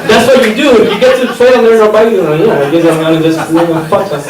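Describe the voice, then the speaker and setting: A man's voice: high voice, lively intonation, rapid-fire, a crowd in the background. A man from Chile, performing a stand-up comedy set in a rambling, self-interrupting way. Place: comedy theater stage. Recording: echoey room from a distance, audience laughter.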